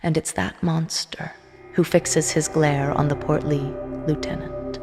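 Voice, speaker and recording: Tense female soldier-narrator played by a woman, conflicted, dramatic audio drama storytelling, low voice in long reverb over music. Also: little pitch movement, measured pace. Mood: tense, hushed.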